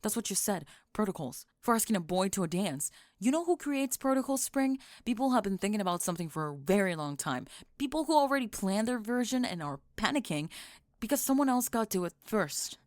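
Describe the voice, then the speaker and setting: A woman playing a cool, blunt teenage bully girl with a wry, deadpan, teasing manner in an ASMR audio roleplay. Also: mid-range voice, brisk, dry recording, clean studio sound, natural voice. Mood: confronting.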